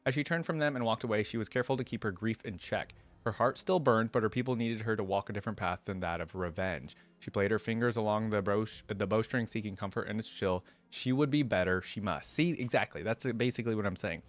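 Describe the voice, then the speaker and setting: A man's voice: on the low side, fast, few pauses. A man hosting a reaction video, reading a fantasy story aloud and adding casual, conversational commentary with asides and questions.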